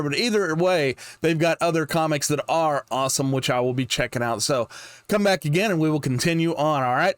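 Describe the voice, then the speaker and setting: Higher-pitched, enthusiastic man reacting, close and dry. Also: no room sound. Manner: enthusiastic, informal, repeats short exclamations. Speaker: reaction video host. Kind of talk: casual reaction commentary.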